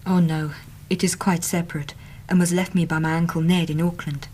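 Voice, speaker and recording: Woman acting an earnest, low-voiced young English typist. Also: little pitch movement, brisk, dark tone, slight background noise, close to an everyday voice. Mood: earnest, chatty. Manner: earnest, chatty, plain-spoken. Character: earnest, talkative young female Victorian typist seeking help. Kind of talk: radio drama acting.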